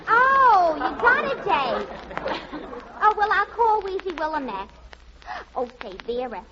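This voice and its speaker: A woman's voice: very high-pitched, pushed and bright, full character voice. A woman playing a gossipy, catty, man-hungry single gal in a vintage radio comedy. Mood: excited. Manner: catty, chatty, exclamatory. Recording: muffled, noisy old radio recording.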